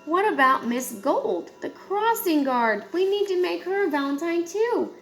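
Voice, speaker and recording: A woman voicing an earnest, excitable young male cat from a children's storybook, speaking emphatically. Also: very high voice, a little slow, faint music behind, voice pushed up, exaggerated voice. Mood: eager.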